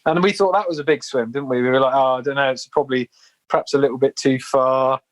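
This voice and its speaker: A man's voice: mid-range voice, steady tone, fast talker. A man chatting informally and enthusiastically as a podcast guest, telling stories in a casual way. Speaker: podcast guest, endurance swimmer. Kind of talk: casual chat, storytelling.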